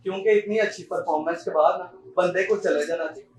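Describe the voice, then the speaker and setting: A man's voice: high voice, steady tone, quick pace, darkish tone, a little hiss or hum, lightly acted. A young man with a Pakistani accent acting a chatty, patronising, self-satisfied husband in an amateur stage play, in an echoey hall far from the microphone. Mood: teasing, boastful. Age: young adult. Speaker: student stage actor.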